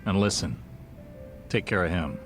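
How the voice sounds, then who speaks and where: A man's voice: sits low, animated, normal speed, soft background music. A man acting a dry, kindly, laid-back bartender in a video game cutscene, with a wry manner. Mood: wry, fond.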